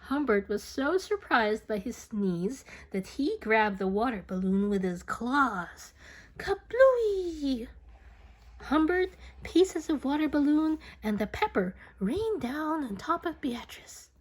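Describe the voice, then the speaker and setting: Slow, very animated woman storyteller. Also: sits high. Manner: expressive, dramatic, with whispers and playful sound effects. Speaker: picture book reader. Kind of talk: animated children's read-aloud storytelling.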